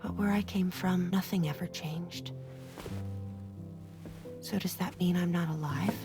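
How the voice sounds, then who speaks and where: A woman's voice: fairly low pitch, fairly even intonation, a little slow, lightly acted. A woman playing a naive, earnest young newcomer from another world in a cinematic video game, tentative and questioning. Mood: puzzled, searching. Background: music.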